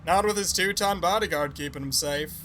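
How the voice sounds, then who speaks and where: A man's voice: a little high, brisk, pushed and bright. Man acting male team leader, casual, reassuring, audio drama, slight background noise. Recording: faint background noise and ambience.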